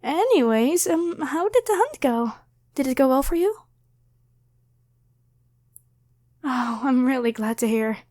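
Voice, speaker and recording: Woman acting high-voiced, flustered, grateful young woman. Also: expressive, normal speed, warm tone. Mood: apologetic, caring. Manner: rambling, apologetic, hesitant, stammering. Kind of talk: ASMR roleplay addressed to the listener.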